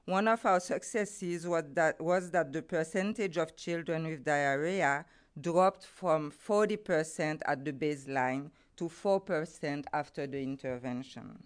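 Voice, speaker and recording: Middle-aged Haitian-accented woman, non-native English, unhurried delivery, smooth clear tone. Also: deep voice. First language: Haitian Creole or French. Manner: careful, deliberate, formal phrasing. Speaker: guest speaker, NGO program head. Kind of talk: prepared conference talk.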